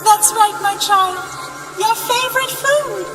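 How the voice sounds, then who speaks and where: A woman voicing a sweet, doting talking soft pretzel that speaks like a motherly god in an audio drama, with music behind. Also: very high-pitched, slow. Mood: grand, doting. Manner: godlike, motherly, soothing.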